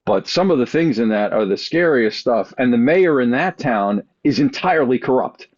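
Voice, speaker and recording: A man's voice: medium pitch, expressive, moderate pace, darkish tone, no echo. A man chatting casually as a podcast panelist, rambling along in a wry way. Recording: dull, dry podcast audio.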